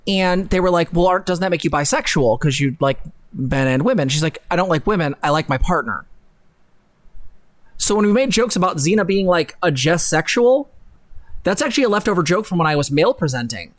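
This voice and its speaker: A woman's voice: fairly low pitch, animated, a little fast. A young woman co-hosting a casual livestream, sardonic and profane, leaning hard on words for emphasis. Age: young adult. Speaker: co-host of a livestream. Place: bedroom, indoors. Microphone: close desk microphone on a boom arm.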